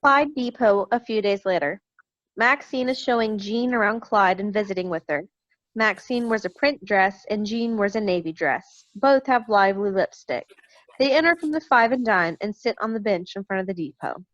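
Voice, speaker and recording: A woman's voice: medium pitch, normal speed, fairly bright tone, dry recording. A woman reads stage directions as scripted narration in a mid-range voice. The sound is dry and somewhat muffled, recorded over a video call. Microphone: computer mic on a video call.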